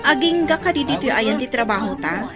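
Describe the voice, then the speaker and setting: A woman's voice: sits high, expressive, brisk, loud music behind the voice. A woman playing an anxious overseas restaurant worker in a radio drama, with a Filipino accent.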